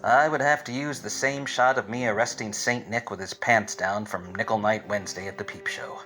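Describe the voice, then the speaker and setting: An actor playing a wry, obtuse 1940s private detective in melodramatic, deadpan radio-play style. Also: male voice, fairly low pitch, moderate pace, faint music behind, rough recording. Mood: brooding. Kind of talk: 1940s radio detective acting with hard-boiled narration.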